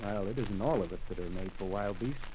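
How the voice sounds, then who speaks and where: An actor playing a rough, power-hungry soldier in a 1930s radio drama, talking in coarse streetwise slang and pressing his point. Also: on the low side, a little fast, warm tone, a little hiss or hum, natural voice, rough recording. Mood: scheming.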